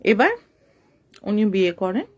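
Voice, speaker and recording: A woman's voice: medium pitch, measured pace, a little mellow. A woman tells a story informally, explaining things and addressing listeners directly in a conversational way. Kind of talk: informal spoken horror storytelling.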